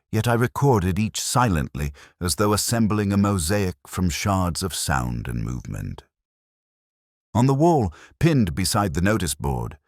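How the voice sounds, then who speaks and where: A man narrating a scripted first-person detective story in a measured, literary, reflective manner. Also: sits low, no echo, clean studio sound.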